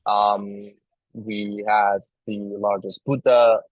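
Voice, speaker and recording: A man from Afghanistan speaking earnestly as a guest on a live online panel, pausing often and restarting his sentences. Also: lowish voice, flat delivery, no echo, phone-quality sound. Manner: earnest, halting, many restarts. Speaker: astronomy outreach guest. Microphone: video call.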